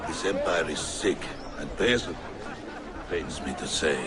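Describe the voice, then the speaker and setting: A man's voice: very deep voice, animated, measured pace, a loud crowd, very noisy. An actor playing a middle-aged, haughty Ottoman Janissary captain in a video game, imperious and theatrical. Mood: wistful, bitter. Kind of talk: video game character acting.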